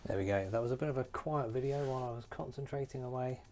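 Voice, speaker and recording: A man hosting a hands-on tutorial, talking casually and thinking aloud in an unhurried way with pauses. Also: medium pitch, darkish tone, soft background music. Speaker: host of a model painting tutorial. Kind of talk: casual talk-through while painting.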